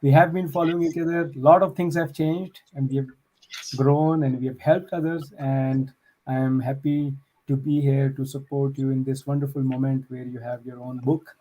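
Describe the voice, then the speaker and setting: A man joins a talk show as a guest, friendly and earnest. His voice is warm and mellow, heard over a video call. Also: mid-range voice, flat delivery, moderate pace, rough recording. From Pakistan. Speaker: guest, tech entrepreneur.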